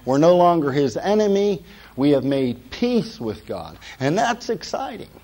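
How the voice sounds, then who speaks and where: Hoarse preacher with a dark, mellow voice. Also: male voice, on the high side, very animated, a little slow. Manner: folksy, joking asides, many "uh" fillers. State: hoarse from a cold. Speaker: pastor. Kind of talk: sermon.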